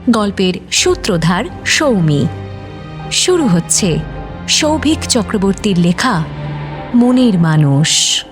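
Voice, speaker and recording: A woman radio presenter reading a scripted story introduction in a formal, deliberate manner. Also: mid-range voice, big pitch swings. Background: light music.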